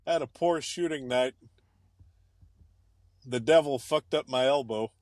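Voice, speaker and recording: Brief: male co-host and budding comic, laid-back and hesitant, mid-range pitch, dry sound. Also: a little slow, no echo. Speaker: podcast co-host and aspiring stand-up comic. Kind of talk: casual banter. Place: in his car.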